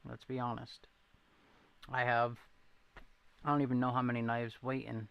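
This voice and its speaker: Gravelly man with a thin Boston accent. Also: mid-range voice, little pitch movement, moderate pace, muffled recording. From New England, near Rhode Island. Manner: rambling, self-deprecating, reacts to chat. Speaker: livestream host. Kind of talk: casual livestream chat, answering viewers.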